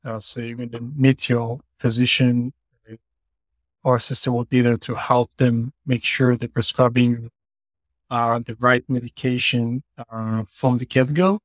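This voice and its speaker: A man's voice: medium pitch, level tone, a little slow, no echo. A man from Israel, a company founder, explaining his work earnestly with many "uh" fillers over a remote call. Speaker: company CEO guest. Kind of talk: podcast interview.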